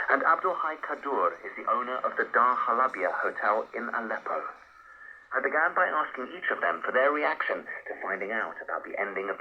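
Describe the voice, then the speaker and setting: A man's voice: fairly low pitch, highs cut off, slight background noise. A man presenting a radio documentary in a scripted narration style, heard over a shortwave broadcast.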